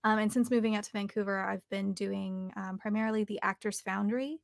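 Young woman with a creaky, fried voice. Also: medium pitch, steady tone, a little fast, no echo, clean studio sound. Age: young adult. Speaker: actor and audiobook narrator, guest. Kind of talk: conversational interview answers. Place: home recording studio, video call.

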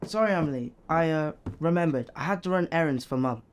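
An actor playing a sullen, defiant, sarcastic teenage middle brother in an audio drama. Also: male voice, on the high side, fairly quick, lightly acted. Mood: defensive.

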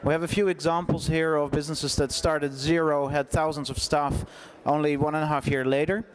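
Guy in his 30s hosting a conference panel, kinda high voice, informal. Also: level tone, fairly quick, slight background noise. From Netherlands. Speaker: panel moderator. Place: conference hall stage. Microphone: gooseneck microphone at seat.

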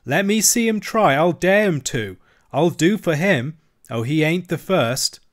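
A man's voice: medium pitch, lively intonation, normal speed, close to an everyday voice. A man voicing a boastful, half-witted young cabin boy who brags with a weak swagger. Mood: defiant. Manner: swaggering, sly, bragging.

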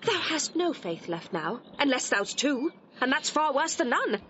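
Casting: defiant, distressed gentlewoman for classical radio drama, forceful high voice, declamatory, on a slightly noisy recording. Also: big pitch swings, unhurried, full character voice. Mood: scornful, indignant. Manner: declamatory, anguished. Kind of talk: classical Shakespearean audio drama acting. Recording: dull, noisy vintage audio recording.

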